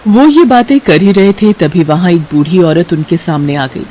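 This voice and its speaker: A woman's voice: fairly low pitch, moderate pace, dark tone. A woman narrator telling a scripted story in a dramatic storytelling style.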